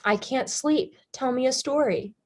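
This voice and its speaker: A woman's voice: sits high, unhurried, a little mellow. A young woman in a staged play reading, playing a nosy, evasive girl with a flippant, deflecting manner. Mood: playful, prying.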